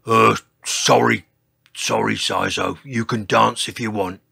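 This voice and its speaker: A reader voicing a grumpy, bossy male dinosaur who protests and complains loudly in a children's storybook. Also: mid-range voice, steady tone, takes it slowly, vocal fry, slightly rough, no room sound, studio quality, pitched below the performer's own voice, exaggerated voice. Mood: grudging. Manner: loud, protesting, exclaiming.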